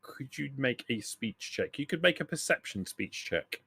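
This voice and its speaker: A man's voice: mid-range voice, very animated, normal speed, creaky, sharp tone, slightly rough. A man acting as game master, casually narrating and answering players in a tabletop roleplaying game.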